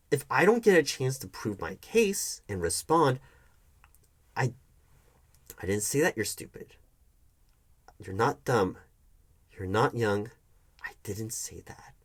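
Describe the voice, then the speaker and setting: Male performer as evasive manager quarrelling with his ex, audio roleplay. Medium pitch, very animated intonation, argumentative, halting delivery with stammered repeats. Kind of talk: one-sided audio roleplay acting.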